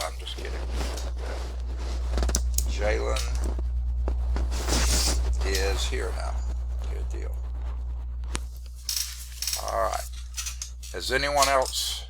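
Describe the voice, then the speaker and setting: Hoarse, congested man teaching online. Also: fairly low pitch, sharp tone, a little hiss or hum, poor audio. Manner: rambling, self-deprecating, narrates his screen fiddling. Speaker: math instructor. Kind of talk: online class lecture. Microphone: computer mic on a video call.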